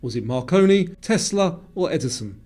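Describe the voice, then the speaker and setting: Casting: male quiz host, fairly high but soft-edged voice, formal scripted reading with faint music underneath. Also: sing-song, moderate pace.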